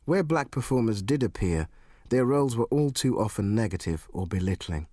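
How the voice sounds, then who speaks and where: A man narrating a documentary in a measured, scripted way. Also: lowish voice, animated, warm tone, no room sound, clean studio sound.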